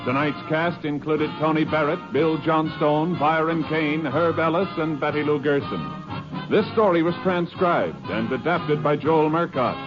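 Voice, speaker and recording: A man's voice: on the high side, a little slow. A male announcer reading scripted credits in a formal, polished 1950s radio style. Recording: dull old radio broadcast, loud music.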